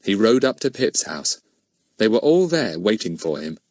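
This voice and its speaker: A man's voice: on the low side, very animated, normal speed, a little mellow, no room sound. A man reading a children's story as an audiobook narrator, in scripted narration.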